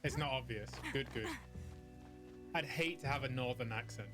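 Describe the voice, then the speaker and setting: A man's voice: medium pitch, normal speed. A man from northern UK with a mild British accent, chatting casually and laughing easily while live streaming a game. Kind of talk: live gameplay chat. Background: game music.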